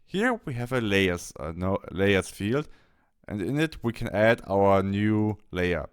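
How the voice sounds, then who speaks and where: Clear-voiced man with swooping, varied intonation. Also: on the low side, expressive, slow. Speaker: software tutorial presenter. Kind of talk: instructional screen-capture walkthrough.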